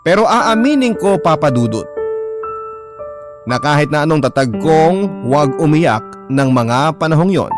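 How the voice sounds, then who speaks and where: A man narrating a scripted first-person drama story in an earnest, sentimental manner. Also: on the high side. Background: prominent instrumental music.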